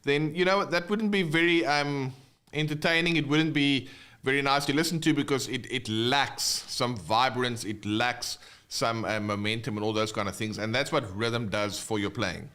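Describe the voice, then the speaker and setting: Clear-voiced South African man teaching live. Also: mid-range voice, a bit bright. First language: not English (English is second language). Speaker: guitar teacher, livestream host. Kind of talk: casual live online lesson, chatting with viewers.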